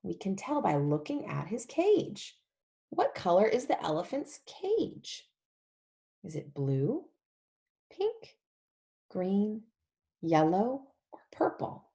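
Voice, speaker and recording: A woman leading an interactive storybook read-along for young children, animated and emphatic, using simple repeated phrases and questions. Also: mid-range voice, slow, creaky, pauses often. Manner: animated, emphatic, often drops to a whisper.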